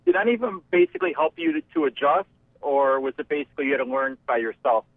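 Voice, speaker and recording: A male podcast host with a fairly high, gravelly voice asks questions in a lively, swooping way, curious and eager. His voice is dry and tinny, as if heard down a phone line. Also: fast, no room sound. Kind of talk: interview questions.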